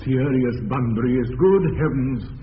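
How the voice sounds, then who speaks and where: Man voicing an earnest young gentleman, formal, indignant, tinny radio with hiss. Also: medium pitch, unhurried, close to an everyday voice. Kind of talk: classic radio comedy acting.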